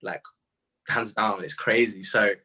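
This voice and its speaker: A man in his twenties with a husky voice, a guest artist chatting casually and telling stories in animated slang. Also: fairly low pitch, a little slow. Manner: animated slangy storytelling, quoting own reactions. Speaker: interview guest, music artist. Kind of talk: casual chat.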